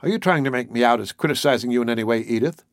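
A man playing an earnest, patient, idealistic young man with a measured, reasoning manner in a story. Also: mid-range voice, dry recording, clean studio sound, lightly acted. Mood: exasperated.

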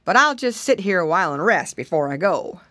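A woman's voice: fairly low pitch, sing-song, brisk, a bit bright, dry recording. A woman reading a children's storybook, voicing a big, good-natured, fussy male elephant in an emphatic, exclamatory way. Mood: grateful.

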